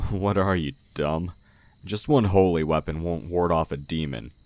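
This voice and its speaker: A man playing a sly oni who poses as a humble village blacksmith in an audio roleplay, talking in a measured, condescending way. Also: sits low, a little mellow, no echo. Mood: scornful. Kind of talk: audio roleplay, intimate character acting. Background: night forest ambience with crickets.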